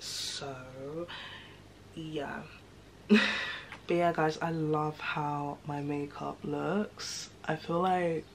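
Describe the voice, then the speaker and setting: Casual young woman vlogger, deep-set low voice, rambling fillers, faint room noise. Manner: chatty, rambling, lots of fillers. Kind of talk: casual vlog chat to camera.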